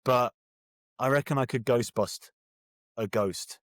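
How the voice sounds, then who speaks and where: A British man chats casually as an interview guest. He is jokey and self-deprecating, often circling before he lands on an answer, in a medium-pitched voice with a dry sound. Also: takes it slowly, no room sound. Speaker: guest author.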